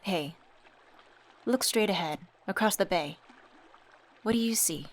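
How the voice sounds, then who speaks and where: A woman voice actor playing a supportive, gently teasing young best friend in a scripted ASMR roleplay, talking casually and intimately. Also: mid-range voice, a little slow, natural voice. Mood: playful, hopeful.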